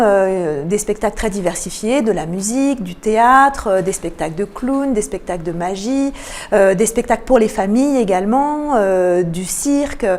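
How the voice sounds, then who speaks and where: A woman giving explanatory answers as a radio interview guest, often pausing between phrases. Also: mid-range voice. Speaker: interview guest, cultural programme manager.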